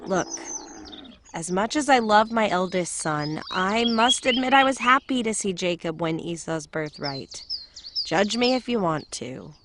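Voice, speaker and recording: A woman's voice: mid-range voice, lively intonation, a little slow, a bit bright. Candid doting mother amid birdsong woman acting. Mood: frank, defensive. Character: doting mother, frank, defiant, favours younger son. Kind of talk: audio drama interview. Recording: noisy outdoor background with birdsong. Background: birdsong, clicks.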